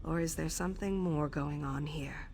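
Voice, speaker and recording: A composed mistress of assassins in a fantasy game. Her voice is low and measured, with dry wit and calm authority. Background noise sits behind her. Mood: measured, businesslike. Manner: measured, dryly wry, commanding. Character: composed sardonic female assassin guild superior briefing an initiate. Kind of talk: scripted video game quest dialogue.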